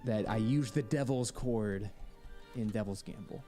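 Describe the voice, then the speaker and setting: Mellow-voiced man talking over music. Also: on the low side, measured pace. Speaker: composer interviewed as podcast guest. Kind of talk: conversational podcast narration about music. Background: music, with guitar.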